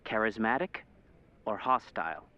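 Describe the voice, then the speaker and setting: An actor playing an alert, earnest male spy handler in an interactive audio game, giving directives in a crisp, urgent manner. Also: on the low side, expressive, moderate pace, sharp tone, highs cut off. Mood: guiding. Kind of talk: scripted interactive game acting.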